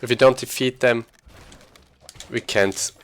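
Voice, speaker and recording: A man giving casual live commentary as he plays a game, haltingly thinking aloud. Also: medium pitch, fairly even intonation, fairly bright tone. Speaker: speedrunner commentating gameplay.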